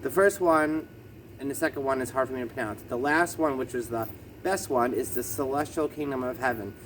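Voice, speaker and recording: A man's voice: medium pitch, a little fast, a bit bright, a little hiss or hum, poor audio. A man with a lisp talking through a casual explanatory vlog, hesitant and full of ums and self-corrections. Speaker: vlogger.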